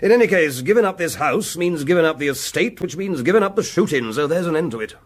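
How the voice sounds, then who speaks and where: An aristocratic landowner in a period radio drama. His voice sits a little high, with an upper-class English accent. He is pompous and clipped, quick to scoff and condescend. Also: animated. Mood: dismissive. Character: haughty, sceptical aristocratic lord of a country estate.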